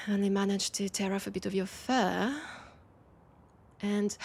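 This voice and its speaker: A woman with a French accent playing a teasing, nostalgic, centuries-old vampire in an intimate audio roleplay, her breathy voice often dropping to a whisper. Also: mid-range voice. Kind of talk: intimate audio roleplay, one-sided dialogue.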